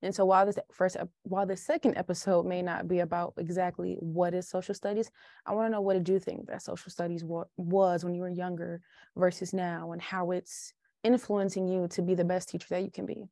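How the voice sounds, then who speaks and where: A woman's voice: lowish voice, flat delivery, fairly quick, mellow, no room sound, clean studio sound. A young woman hosting a podcast, talking in a chatty, informal conversational interview style. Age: young adult. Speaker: podcast host.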